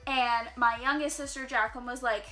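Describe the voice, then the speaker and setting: A young woman telling a personal story in a casual vlog, chatty and quick with lots of filler words, sounding a little congested. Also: on the high side, fairly even intonation, sharp tone, faint music behind, low-quality recording. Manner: chatty, fast-flowing, heavy use of "like". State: slightly congested. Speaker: vlogger. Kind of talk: casual storytime while doing makeup.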